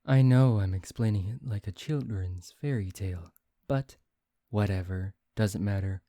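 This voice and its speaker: A man playing a suave, proud vampire who teases one listener in an intimate, halting ASMR roleplay. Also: on the low side, dark tone, dry recording, clean studio sound. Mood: calm, explanatory. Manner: teasing, haughty, halting, addressing one listener. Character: suave, teasing male vampire, proud and quick-tempered.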